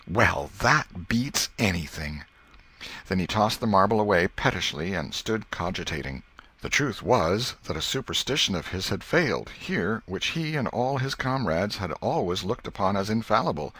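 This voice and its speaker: A man's voice: sits low, fairly bright tone, breathy edge. A man reading a classic story aloud as an audiobook narrator, in scripted literary storytelling.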